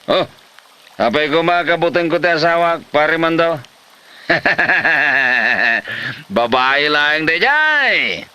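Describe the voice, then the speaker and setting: A man's voice: fairly high pitch, animated, slow, pushed and bright, smooth, full character voice. A man playing a lazy, boastful, hard-drinking husband in a comedy drama, dismissive and cheerfully defiant. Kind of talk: Ilocano comedy drama acting.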